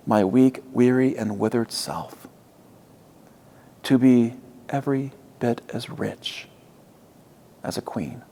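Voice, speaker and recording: A man preaching a reflective sermon as a pastor, with measured, deliberate phrasing and emphatic pauses. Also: medium pitch, warm tone.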